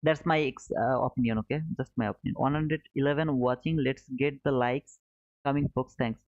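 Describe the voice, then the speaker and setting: A man's voice: mid-range voice, steady tone, fairly quick, darkish tone. Phone-like sound, man chatting on stream. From Bangladesh. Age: 30s. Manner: repeats short phrases, informal and chummy address. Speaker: live trading stream host. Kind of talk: casual chat, answering viewer comments. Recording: narrow phone-like sound, roomy echo.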